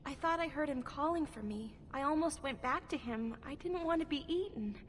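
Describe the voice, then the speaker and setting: A woman playing a frightened young elf girl in a video game, pleading haltingly. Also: sits high, expressive, slight background noise. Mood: tearful, defending. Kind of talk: video game dramatic acting. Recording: long hall reverb, game music behind.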